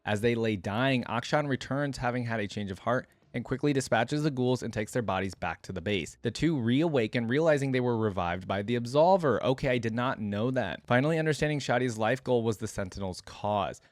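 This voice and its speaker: Fast-talking man reading and reacting casually. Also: medium pitch, expressive, dry recording. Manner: informal, thinking aloud between read passages. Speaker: reaction video host. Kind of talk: reading lore aloud with casual commentary.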